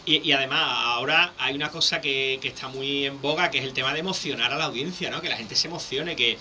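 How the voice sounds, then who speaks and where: Man, speaking coach, lively teacherly explaining, very bright forceful tone, faint background hiss. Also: medium pitch, quick pace. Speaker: public speaking coach, guest. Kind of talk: conversational radio interview.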